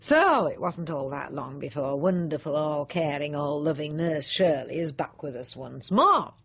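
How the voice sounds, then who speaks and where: Husky, prim, petty housewife. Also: female voice, low voice, lively intonation, a little slow, a bit bright, heavily acted. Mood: indignant. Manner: prim, pointed, self-justifying, with emphatic swoops. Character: self-righteous, petty, snobbish middle-aged housewife nursing family grudges. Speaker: actor in a radio monologue. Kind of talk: radio drama monologue, confiding. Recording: slightly dull, lo-fi radio recording.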